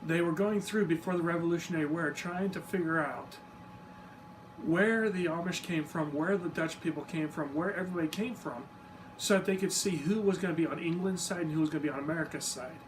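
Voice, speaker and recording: A man's voice: a little high, fairly quick. Easygoing man, distant mic, noisy. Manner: easygoing, self-deprecating, informal asides. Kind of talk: casual explanatory talk to camera. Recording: distant mic with steady background noise.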